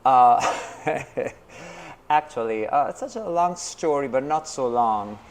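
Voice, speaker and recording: A man's voice: mid-range voice, lively intonation, slow pace, slight background noise. A man from Brooklyn, New York, answering interview questions in a casual, hesitant way, with many fillers and restarts. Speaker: interview guest, artist and teacher.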